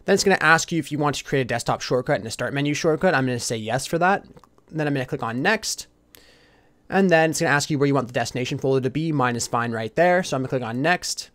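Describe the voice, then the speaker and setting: A man giving a casual step-by-step software tutorial as a voice-over, addressing viewers informally. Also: mid-range voice, lively intonation, fast. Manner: instructional, addresses viewers informally as "you guys". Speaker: tutorial host.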